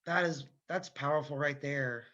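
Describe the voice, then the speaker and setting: A man's voice: a little high, normal speed, bright, forceful tone, lo-fi sound. A young man from Ohio interviewing a guest, talking earnestly and casually with plenty of "like". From Gallipolis, Ohio, United States. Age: young adult. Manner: earnest, conversational, filler "like".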